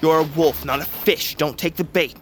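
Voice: medium pitch, steady tone, moderate pace, natural voice. An actor playing a loyal, cheeky blind boy in a fantasy audio drama, eager and playful. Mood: soothing. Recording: prominent music behind.

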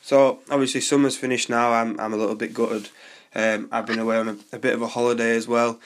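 A man's voice: medium pitch, quick pace. Stuffy-nosed young male podcast host. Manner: relaxed, chatty, hesitant fillers. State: blocked nose from a cold. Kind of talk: podcast interview.

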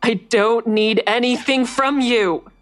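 A woman's voice: a little high, fairly even intonation, takes it slowly, no echo. An actress playing a guarded, defiant woman in an audio drama, narrating and speaking in a terse, dry, confiding way. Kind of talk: first-person audio drama narration and dialogue. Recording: faint music under the voice.

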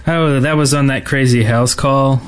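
A man's voice: medium pitch, steady tone, normal speed, clear, resonant tone. A man co-hosting a gaming podcast, talking in a casual, conversational style. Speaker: podcast co-host.

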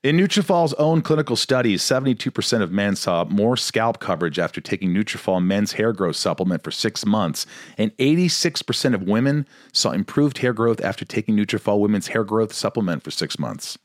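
A man with a fairly low voice reads a sponsor advertisement in a steady, promotional way. The sound is dry and close, with no room around it. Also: brisk, few pauses, no room sound. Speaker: podcast host reading an ad. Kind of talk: host-read advertisement.

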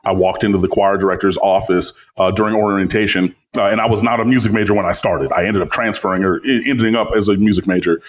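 A man with a deep bass voice talks on a podcast. He rambles through stories with lots of fillers and plenty of animation. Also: fairly even intonation, fast, hardly any pauses, a little hiss or hum. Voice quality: low bass voice. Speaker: podcast guest, site editor. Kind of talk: casual chat.

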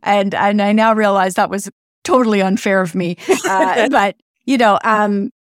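A woman's voice: medium pitch, steady tone, moderate pace, dry recording, clean studio sound. A woman hosting a podcast interview, friendly and reflective, sharing personal stories. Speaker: podcast host.

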